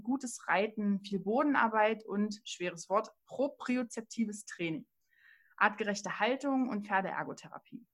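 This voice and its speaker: A young adult woman hosting a podcast, reading a smooth introduction and asking friendly, casual questions. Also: mid-range voice. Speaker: podcast host.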